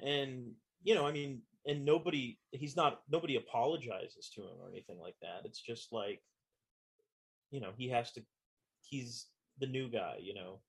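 A man's voice: mid-range voice, husky. A man chatting casually, hedging and filling his talk with "you know" and "like". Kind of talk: casual chat about a TV show.

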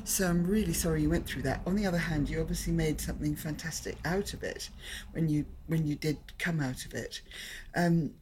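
A woman's voice: sits low, brisk, darkish tone, a little hiss or hum. A woman interviewer asking questions in a sympathetic, chatty manner.

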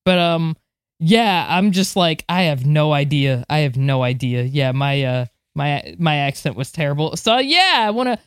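A woman's voice: deep voice, animated, moderate pace, smooth, no echo. A woman co-hosting a podcast, chatting casually and reacting with enthusiastic exclamations. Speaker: podcast co-host.

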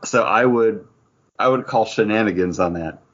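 A man hosting a comics podcast in casual chat, upbeat and quick to joke. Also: on the low side. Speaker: podcast host. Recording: dull lo-fi audio.

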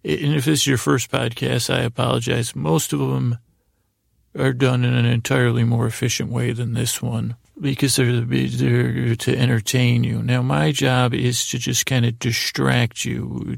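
A middle-aged man with a gravelly, creaky voice hosting a bedtime podcast, meandering and hesitant in a goofy way, often trailing off and restarting. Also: mid-range voice, level tone, unhurried, no room sound, clean studio sound. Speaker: podcast host. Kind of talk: rambling bedtime sleep podcast monologue.

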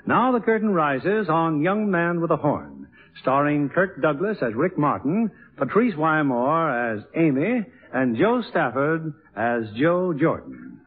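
A muffled vintage radio recording carries a formal male host with a fairly high, very animated voice. He delivers a polished, courtly scripted introduction in 1950s theater-program style. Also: unhurried, hardly any pauses.

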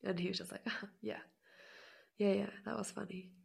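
Husky, creaky woman in her twenties. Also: medium pitch, normal speed. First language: not Dutch. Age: 20s. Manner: animated storyteller, acts out quotes, laughs often. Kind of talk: casual chat.

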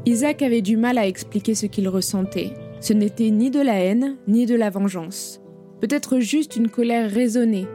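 A woman narrating an audio drama in a measured, grave storytelling manner. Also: a little high.

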